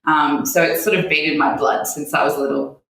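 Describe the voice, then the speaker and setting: Low-voiced aussie woman chatting as a guest, lots of ums, super echoey room. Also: steady tone, moderate pace, vocal fry, fairly bright tone. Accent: Australian. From born in New Zealand, raised in Australia. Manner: chatty, laughs often, frequent ums. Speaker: guest, head of content. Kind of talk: casual conversation.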